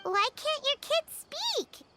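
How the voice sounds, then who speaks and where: A woman voicing a tiny, excitable childlike fairy companion in an anime-style video game, exclaiming and talking about herself in the third person. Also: very high voice, takes it slowly, heavy background noise, heavily acted. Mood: curious.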